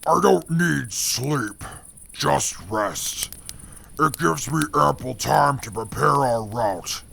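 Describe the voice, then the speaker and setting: A man voicing a calm, precise male navigator who talks in a measured, formal way. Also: medium pitch, vocal fry, slight background noise, heavily acted. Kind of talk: audio drama acting.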